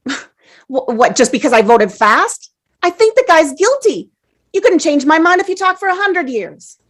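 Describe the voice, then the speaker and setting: A flippant, impatient juror eager to be gone, played by a woman. The voice is high and swoops freely, pushing back in argument as in a stage drama. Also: big pitch swings, unhurried, exaggerated voice. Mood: defensive. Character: brash, impatient juror eager to get away.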